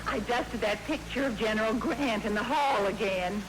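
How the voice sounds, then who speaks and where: A woman playing a wisecracking, man-hungry spinster maid in a 1940s radio comedy, with dry, deadpan delivery. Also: on the high side, normal speed, close to an everyday voice. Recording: crackly old radio broadcast, loud hiss.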